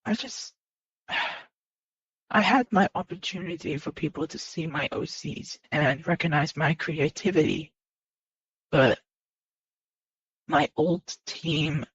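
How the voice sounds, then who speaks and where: A person speaking unscripted to viewers in a personal confession, earnest and halting, often repeating phrases and starting over. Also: mid-range voice, breathy edge. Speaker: video creator. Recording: muffled lo-fi mic in echoey room.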